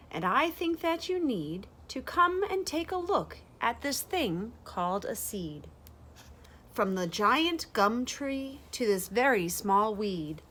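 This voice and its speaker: A woman in her thirties reading a rhyming children's picture book aloud, with deliberate, expressive phrasing for young listeners. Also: fairly high pitch. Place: bright sunroom with large windows, indoors.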